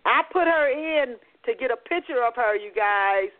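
A woman hosting a book talk radio show, chatty and animated, often restarting her sentences. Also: fairly high pitch, moderate pace. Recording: tinny phone-line audio.

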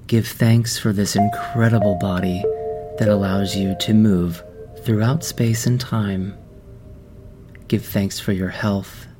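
A man guiding a meditation in a slow, soft, soothing voice with long pauses. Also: on the low side, fairly even intonation. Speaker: meditation guide. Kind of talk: guided meditation. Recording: music underneath.

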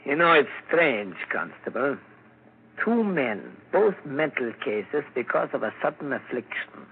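An actor playing a composed, probing doctor in a 1940s radio drama, measured and authoritative. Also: male voice, medium pitch, big pitch swings. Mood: probing, musing. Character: composed, probing male doctor treating mental cases. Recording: crackly, narrow-band 1940s radio broadcast.

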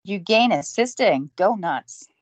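A woman's voice: mid-range voice, lively intonation, unhurried, dry recording. A woman playing a dry-witted, independent young woman who is on crutches. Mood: wry. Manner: dry and wry.

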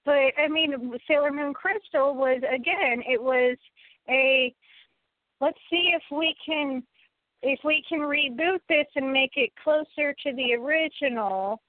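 High-voiced American woman on a phone-like line. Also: unhurried, dry recording. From United States. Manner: emphatic, repeats phrases for stress. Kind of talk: casual opinionated chat. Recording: thin phone-like audio.

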